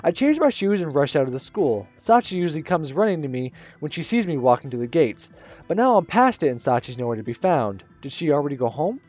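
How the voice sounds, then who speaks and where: Gamer reading a visual novel, stumbles a lot, lively pitch, dry lo-fi desk mic. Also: a little fast, soft background music. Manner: reading aloud with stumbles and restarts. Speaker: gaming channel host. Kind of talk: visual novel let's play narration.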